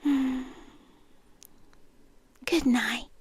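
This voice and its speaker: A young British woman performing a scripted ASMR girlfriend roleplay in a soft, whispery, intimate way, close to the mic. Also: high voice, fairly even intonation, extremely slow, slightly rough, no room sound.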